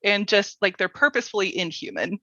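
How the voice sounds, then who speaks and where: A woman hosting a casual panel interview, informal and halting, with lots of "like" and "you know". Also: medium pitch, pushed and bright. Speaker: panel host. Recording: dull, lo-fi, roomy sound.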